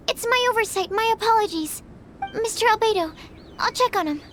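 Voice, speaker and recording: A woman's voice: very high voice, a little fast, fairly bright tone, a little hiss or hum. A woman voicing an earnest, polite and timid young female assistant in a video game, speaking formally and apologetically. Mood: apologetic. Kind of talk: video game character acting.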